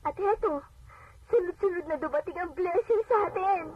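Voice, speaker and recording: A woman's voice: very high-pitched, moderate pace. A woman acting an earnest, devout wife and daughter telling her testimony in a radio drama. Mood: earnest, relieved. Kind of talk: radio drama acting and first-person narration. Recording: dull, noisy AM radio playback, distant.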